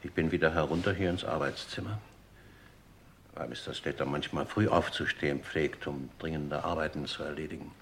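Elderly manservant, very deep, fry in the voice, deferential, formal, old radio drama. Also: male voice, fairly even intonation, fairly quick, fairly bright tone, exaggerated voice. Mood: subdued, formal. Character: gaunt grey-haired English manservant, formal and dutiful. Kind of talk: German radio crime drama acting. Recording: old radio recording, noisy background.

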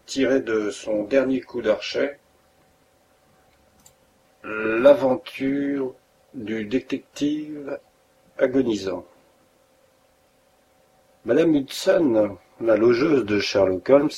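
A man reading a classic detective story aloud as an audiobook narrator, in a measured, literary way. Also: mid-range voice, dark tone, dull audio, slight background noise. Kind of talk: scripted narration.

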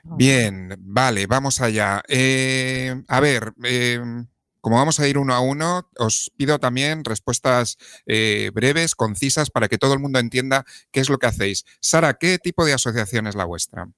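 A man's voice: medium pitch, normal speed, fairly bright tone, no room sound. Man hosting radio, dry close sound. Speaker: radio host. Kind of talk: live community radio interview.

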